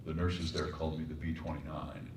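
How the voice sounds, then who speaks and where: A man from Colorado with a very deep voice, a voice actor and composer telling wry stories on a stage panel. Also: little pitch movement, fairly quick. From Colorado, United States. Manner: storytelling, wry, reflective. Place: performing arts center stage. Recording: echoey hall, distant mic, faint hum.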